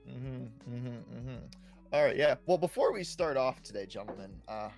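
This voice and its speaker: A man's voice: mid-range voice, expressive, moderate pace, a little mellow. A young man hosting a casual gaming talk show in an upbeat way, repeating short phrases as he goes. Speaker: podcast host. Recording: music playing behind the voice. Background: background music.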